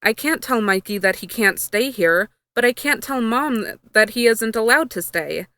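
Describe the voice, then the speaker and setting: A woman's voice: a little high, animated, moderate pace, sharp tone, no echo. A woman reading the storybook dialogue of an anxious young girl who is eager to please. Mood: worried. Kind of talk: storybook dialogue in fan fiction.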